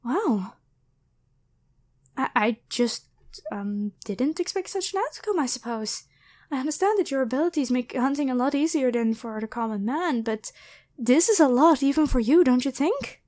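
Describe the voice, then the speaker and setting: Woman acting high-voiced, flustered, grateful young woman. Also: lively intonation, normal speed, darkish tone. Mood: astonished. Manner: rambling, apologetic, hesitant, stammering. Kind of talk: ASMR roleplay addressed to the listener.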